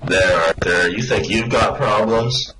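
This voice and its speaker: A man playing a quick-thinking, sardonic space traveller in a comic sci-fi radio play, persuasive and improvising as he goes. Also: on the low side, background noise, close to an everyday voice. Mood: coaxing. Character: quick-thinking, wry male space traveller, coaxing and unflappable.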